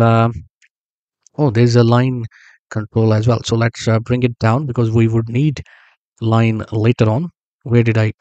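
A man explaining a database build step by step while working on screen, thinking aloud with frequent pauses and small self-corrections. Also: medium pitch, a little mellow, no echo. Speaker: software tutorial instructor. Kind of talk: screen-recorded tutorial narration.

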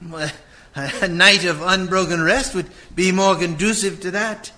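An actor playing a querulous, fearful old miser in a radio play, hesitant and stammering. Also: male voice, sits high, steady tone, measured pace, pushed and bright. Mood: wary, grudging.

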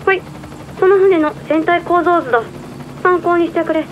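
A woman's voice: very high voice, normal speed. A woman playing a cool, businesslike intelligence contact in a radio drama, terse and matter-of-fact. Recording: dull lo-fi transmission, faint noise.

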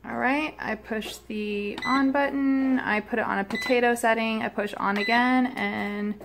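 A woman's voice: on the high side, steady tone, a little slow, creaky, background noise. Chatty self-deprecating woman in kitchen. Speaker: cooking vlogger. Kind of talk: casual cooking vlog chat. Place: small home kitchen, indoors. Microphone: phone camera held close.

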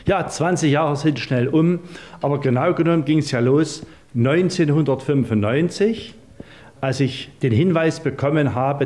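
Male interviewee, sixties, slightly high pitch. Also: a little mellow. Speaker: treasure hunter, interviewee. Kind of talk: interview account. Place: stone mine chamber.

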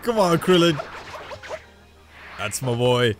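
A man in his twenties giving excited, casual reaction commentary, exclaiming as he hosts. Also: mid-range voice, takes it slowly. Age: 20s. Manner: animated, exclaiming. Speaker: reaction video host. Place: small bedroom gaming setup indoors. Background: show audio and music playing faintly.

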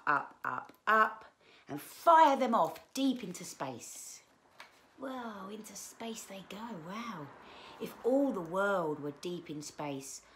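A woman in her thirties reading aloud to children as a storyteller, expressive, with drawn-out emphasis on key words. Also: low voice. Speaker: children's book read-aloud presenter. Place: small living room, indoors.

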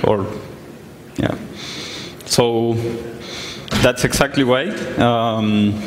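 A young man from Argentina presents at a tech conference, talking in a relaxed, plain way with frequent ums and uhs. His voice sits at a middle pitch. The sound carries a long echo from the large hall, with a faint hum of the room behind it. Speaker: conference speaker. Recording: echoey hall, some distance from mic.

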